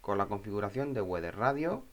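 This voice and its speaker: A man with a slightly low voice presents a software tutorial in an informal way. He goes step by step, thinking aloud as he works. He often drops in short questions to check that the listener is following. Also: lively intonation, a little fast.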